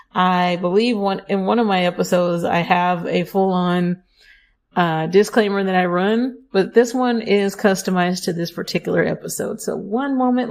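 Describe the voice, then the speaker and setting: A young adult woman hosts her own podcast, talking to listeners in a chatty, upbeat way. Her voice has a slight scratchiness from allergies. She often breaks off to correct herself in quick asides. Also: fairly low pitch, a little mellow. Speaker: podcast host. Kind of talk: affirmation podcast monologue.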